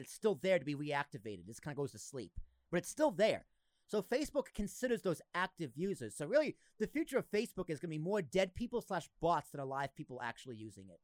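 A man's voice: a little high, very animated, quick pace, no room sound. Blunt, jokey man with a slight Boston accent. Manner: blunt, jokey, swears, laughs often. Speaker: podcast host. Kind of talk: casual chat.

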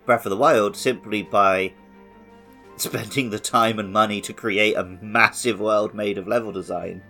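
A man's voice: on the low side, steady tone, a little slow, pushed and bright, soft background music. A man hosting a podcast, talking casually about video games in a conversational way. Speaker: podcast host. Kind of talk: casual gaming podcast chat.

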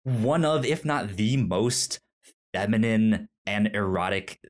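A man co-hosting a review podcast, talking casually about a film in a halting way, often repeating words mid-sentence. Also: on the low side, animated, a little mellow.

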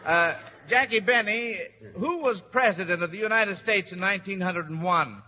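A man's voice: high-pitched, moderate pace. A man hosting an old-time radio quiz show in a folksy, hesitant, avuncular manner. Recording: muffled old radio recording, reverberant, faint noise.

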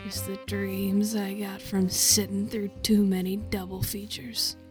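A woman takes on a frog puppet in a reflective film monologue. He is wistful and unsure of himself, musing aloud in dramatic phrases at a middling pitch. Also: level tone, measured pace, darkish tone, faint music behind, close to an everyday voice.

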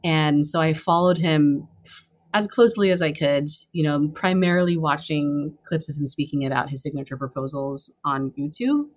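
Low-voiced woman answering thoughtfully. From Taiwan, raised on US East Coast. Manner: thoughtful, halting, with many restarts and fillers. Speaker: campaign treasurer and fundraising director. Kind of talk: casual interview answers.

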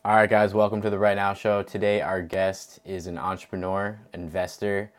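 A male podcast host interviewing a guest in an energetic, motivational way, with many filler words. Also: low-pitched, normal speed, faint music behind.

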